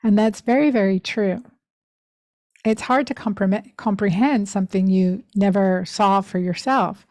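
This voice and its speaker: Earnest woman in her 50s telling her own story, mid pitched, dry close sound. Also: unhurried, darkish tone, dry recording. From Pennsylvania, USA. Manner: earnest, heartfelt and reflective. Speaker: podcast host. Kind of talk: personal storytelling monologue.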